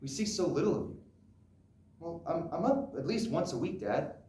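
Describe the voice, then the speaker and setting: A man acting as a patient, dutiful grown son in a stage drama, placating and gently insistent with an ageing father. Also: on the high side, sing-song, normal speed, a little hiss or hum. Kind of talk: solo dramatic interpretation on stage. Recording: echoey room, distant microphone.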